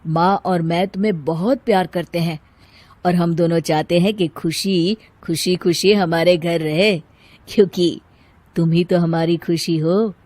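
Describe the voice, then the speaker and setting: A woman plays a patient, caring elder of the household in a cartoon tale. The voice is slightly low, measured and earnest as it explains things, with a dry recorded sound. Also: steady tone, darkish tone, dry recording. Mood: patient, forgiving. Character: concerned, patient mother-in-law and gentle forgiving husband. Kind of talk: Hindi cartoon story voice acting.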